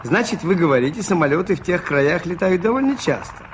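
A male actor playing a mild, shrewd priest-detective in a radio drama, speaking with courteous, musing hesitations in a creaky voice. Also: sits high, lively intonation, brisk, background noise. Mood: musing, probing.

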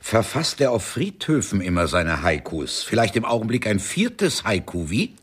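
An actor playing a fussy, persistent Italian police investigator in a satirical radio crime drama, asking questions and repeating words. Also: male voice, fairly low pitch, sing-song, normal speed, breathy edge. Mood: inquisitive, fussy. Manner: inquisitive, sprinkles in Italian words.

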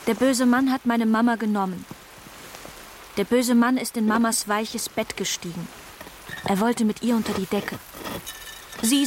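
A woman's voice: on the high side, natural voice. A woman playing a wounded, determined eleven-year-old daughter mourning her mother, in plain, childlike radio drama monologue. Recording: clearly noisy rain-like background.

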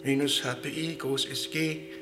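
An actor playing a dutiful, coolly zealous professor in a German radio play, reciting science in a precise, clipped manner. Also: male voice, medium pitch, flat delivery, a little slow, lightly acted. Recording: echoey hall reverb, music behind.

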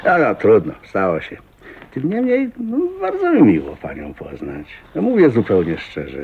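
A folksy, outspoken old millionaire in a radio drama. His low voice is husky and rambles genially, rising and dipping in very lively swings. The recording is narrow and tinny. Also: moderate pace, slight background noise. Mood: surprised, frank. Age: older. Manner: garrulous, folksy, blunt, with chuckles and exclamations. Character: talkative, blunt, jovial old self-made millionaire father. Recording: muffled thin narrow-band radio recording.

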